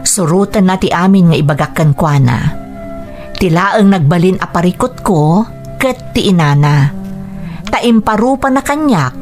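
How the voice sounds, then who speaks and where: An actress with an Ilocano accent playing a gentle, anxious young fiancee in a Philippine radio drama. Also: female voice, on the low side, lively intonation, measured pace, long pauses, soft background music. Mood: reflective, troubled. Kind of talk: Philippine radio drama acting and first-person narration.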